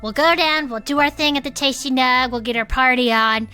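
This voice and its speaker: Casting: hopeful cryptid enthusiast and podcast co-host in a comedy audio drama, highish and bright, chatty delivery. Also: female voice, brisk, smooth, faint music behind. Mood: playful. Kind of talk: scripted audio comedy dialogue, chatty banter.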